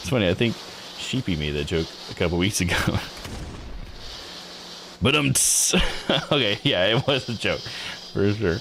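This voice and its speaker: Man livestreaming with lively, swinging pitch. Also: normal speed, pauses often, background noise. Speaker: streamer reading a visual novel aloud. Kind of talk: casual livestream commentary.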